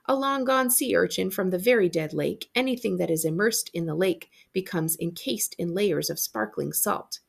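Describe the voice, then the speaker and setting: Creaky-voiced woman reading a storybook aloud. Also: mid-range voice. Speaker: school librarian reading aloud. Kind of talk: expressive storybook narration.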